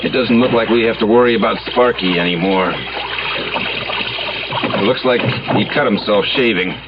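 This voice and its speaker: An actor playing a tough, shrewd American police lieutenant in a radio drama, terse and commanding. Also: male voice, fairly low pitch, normal speed, very noisy, lightly acted. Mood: shrewd, determined. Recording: muffled old radio broadcast, music underneath.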